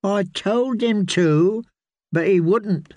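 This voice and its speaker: A man's voice: high voice, darkish tone, dry recording, pitched above the performer's own voice. A man voicing a sulky, sickly little boy lord who whines and draws out his complaints. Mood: whining. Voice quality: whiny, drawn-out complaining.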